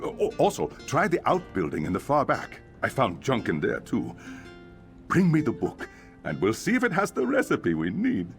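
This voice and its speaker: An actor playing a fussy, exasperated innkeeper in a fantasy video game, with a grumbling, theatrical delivery. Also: male voice, mid-range voice, wildly swooping pitch, normal speed, vocal fry. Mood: instructive. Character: fussy, exasperated male innkeeper eager to help. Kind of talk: scripted video game character acting. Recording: music behind, plucked guitar.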